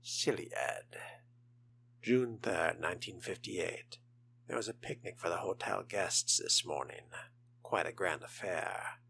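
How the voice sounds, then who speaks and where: A man's voice: low voice, measured pace, creaky, bright, forceful tone, slight background noise. A man narrating a video game playthrough in character, with theatrical delivery and formal wording. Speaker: role-playing game streamer.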